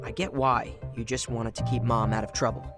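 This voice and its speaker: A man's voice: mid-range voice, little pitch movement, brisk, natural voice. An actor playing an earnest young man, speaking casually and directly in a video game drama. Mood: hurt, pressing. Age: young adult. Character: earnest young man seeking answers about his mother. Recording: music behind the voice.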